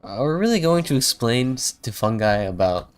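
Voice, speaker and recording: Woman streamer, offhand livestream commentary: deep voice, lively swings in pitch, playful wry asides. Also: moderate pace, background noise. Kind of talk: casual game livestream commentary. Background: game music.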